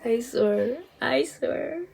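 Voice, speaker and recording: A young woman vlogging, telling a personal story casually, hesitant and full of restarts, dropping into soft whispered asides. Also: fairly high pitch, animated, very slow, creaky, breathy edge.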